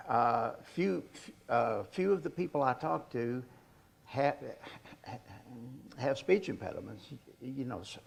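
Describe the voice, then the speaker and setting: An older man delivers an informal talk with a stutter. His speech is slow and halting, with repeated words and blocks, and his voice has a gravelly creak at a medium pitch. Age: 60s or older. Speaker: author giving a presentation.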